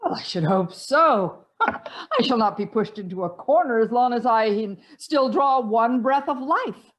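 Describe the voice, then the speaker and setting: A woman acts out an aging society matron from a stage comedy, proud and jealous of the young. Her voice sits fairly high and swings widely. She is emphatic, imperious and grandly indignant. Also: animated, a little slow. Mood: haughty, irritated. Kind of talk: stage comedy acting, one-act play.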